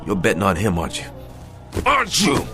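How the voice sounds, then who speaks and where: A deep-voiced, worn but proud prizefighter in a radio play. He talks tough and bitter, his pitch swinging widely, with music underneath. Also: huge pitch swings, fairly quick, heavily acted. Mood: accusing, angry. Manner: street-tough, slangy, confrontational. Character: proud, bitter over-the-hill male boxer named Bolie Jackson. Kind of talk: radio drama acting.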